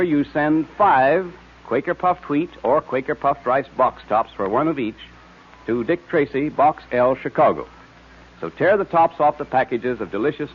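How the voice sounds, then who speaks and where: A man announcing and pitching for a sponsor on 1940s radio in a hearty, emphatic way. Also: breathy edge. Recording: muffled vintage radio recording.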